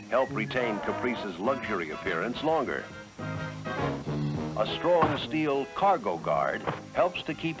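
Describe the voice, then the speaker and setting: A man's voice: medium pitch, low-quality recording. An American man reading scripted 1970s promotional narration with a polished, emphatic announcer delivery, on a vintage film soundtrack.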